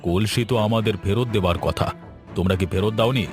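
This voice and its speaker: A man playing a stern, moralizing village gentleman of authority in an animated fairy tale. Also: on the low side, fairly quick, music in the background, close to an everyday voice.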